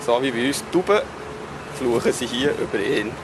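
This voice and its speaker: A man's voice: mid-range voice, lively intonation, moderate pace. A man giving casual, wry travel vlog commentary while out and about in a city. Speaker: travel vlogger. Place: outdoors in a city park and streets. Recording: very noisy outdoor street and park audio.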